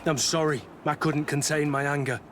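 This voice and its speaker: An actor playing a brooding, suspicious veteran soldier in a video game, with a terse and blunt way of talking. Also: male voice, on the high side, fairly even intonation, normal speed, a bit bright, slight background noise, natural voice. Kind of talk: video game dialogue acting.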